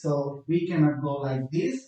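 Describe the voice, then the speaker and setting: A man with a Bangladeshi accent, a native Bengali speaker, teaching an English grammar lesson slowly and often asking whether students follow. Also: a little high, sing-song, mellow, very echoey, distant mic, lo-fi sound. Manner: slow, explanatory, asks checking questions.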